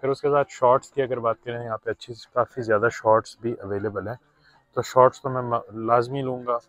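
A man's voice: medium pitch, steady tone, fairly quick, a bit bright, no room sound. Chatty man vlogging in a store. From Pakistan. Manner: chatty, mixes in English words, talks to viewers as brothers. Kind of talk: casual shopping vlog narration. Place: large indoor discount store. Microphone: phone held by the filming hand.

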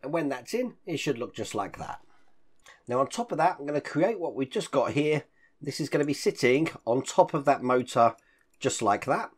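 Hoarse, husky-voiced man in his fifties, hobby-channel host, animated rise and fall as he explains each step. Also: moderate pace. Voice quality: deep rough voice with occasional coughs. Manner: chatty, explains each step while handling parts. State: hoarse, croaky voice after illness. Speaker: model-building channel host. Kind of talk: casual hands-on build walkthrough. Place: home hobby room full of collectibles.

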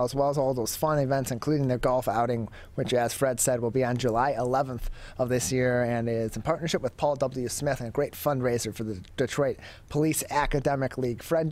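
A man's voice: mid-range voice, fairly even intonation, fast, hardly any pauses, darkish tone. A young man hosting a broadcast interview show, speaking into a studio microphone. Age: young adult. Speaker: show host. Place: TV studio with curtain backdrop. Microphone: studio mic on a boom arm.

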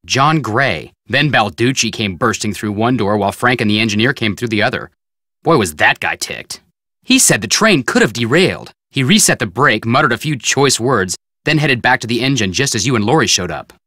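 A young American amateur detective in a video game, excitable yet sceptical. His voice is bright and forceful, swinging lively in pitch as he wisecracks. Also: normal speed, no room sound, studio quality. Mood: animated. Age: young adult. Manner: expressive, wisecracking.

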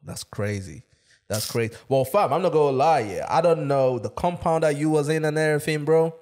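Man, animated, slang-heavy, casual podcast co-host. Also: fairly high pitch, a little fast. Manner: animated, slangy, lots of "bro" and "you get me". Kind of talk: casual chat.

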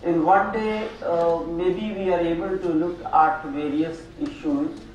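An older man speaks slowly from a lectern in a large, very echoey auditorium, his voice picked up from a distance. He pauses often and fills gaps with hesitations as he walks through his slides. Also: a little high, steady tone. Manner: slow, halting lecture with frequent fillers. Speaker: keynote speaker. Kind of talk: academic conference keynote. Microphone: podium microphone, room sound. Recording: echoey hall, voice from afar, faint noise.

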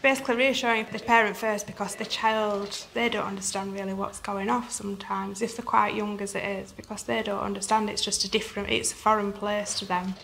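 A woman's voice: mid-range voice, level tone, fairly quick, gravelly, hardly any pauses, fairly bright tone, slight background noise. A woman from Barnsley with a broad Barnsley Yorkshire accent, a nurse speaking down-to-earth in an interview. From Barnsley, England. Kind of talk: sit-down interview.

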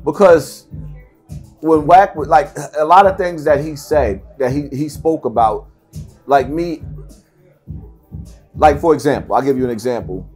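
A man's voice: fairly high pitch, measured pace, long pauses, darkish tone, breathy edge, faint music behind. A middle-aged man giving blunt, emphatic interview answers, repeating phrases for stress. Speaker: podcast guest. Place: seated at table before branded backdrop, indoors.

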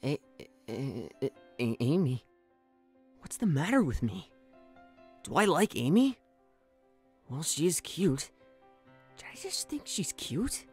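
A woman voicing a young male cartoon hedgehog hero, cocky yet shy, expressive and easily flustered, in an amateur comic dub. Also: low voice, slow, long pauses, husky, faint music behind, exaggerated voice. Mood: confused, shy. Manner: expressive, swinging from smug to flustered.

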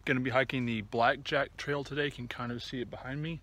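A man in his thirties chatting casually and a bit haltingly to the camera as he walks a trail outdoors. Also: medium pitch, fairly even intonation, sharp tone. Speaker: hiking vlogger. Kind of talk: casual vlog chat to camera while walking. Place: outdoors on a wooded trail and open meadow. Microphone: phone or camera at arm's length. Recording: outdoor recording with clear ambient noise.

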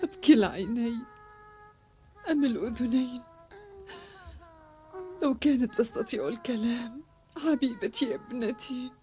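A woman's voice: on the high side, normal speed, pauses often, warm tone, faint music behind, lightly acted. A woman playing an anxious, tearful young mother in a dubbed cartoon drama, pleading and emotional. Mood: grieving, pleading.

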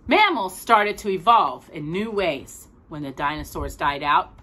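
Casting: middle-aged woman, storytime reader, lively swooping pitch, deliberate dramatic phrasing. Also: husky. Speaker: storytime host reading aloud. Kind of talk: expressive children's storybook reading. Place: small room at home with bookshelves.

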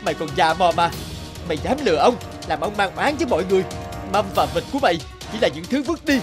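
A burly, hot-tempered young woodcutter. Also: male voice, sits high, lively intonation, quick pace, music in the background. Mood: angry. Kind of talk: cartoon voice acting.

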